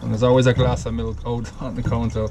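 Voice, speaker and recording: A man's voice: medium pitch, little pitch movement, fast, background noise. A man from Jamaica with a Jamaican accent, casually talking through a drink recipe in a rambling, self-correcting way. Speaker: home cocktail vlogger. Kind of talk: casual step-by-step recipe demo.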